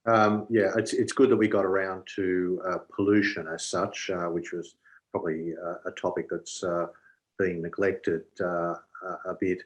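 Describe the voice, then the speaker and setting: A man answering as an election candidate, in a halting, hesitant way. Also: low voice, little pitch movement. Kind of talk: answering questions at a candidate forum. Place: online video call.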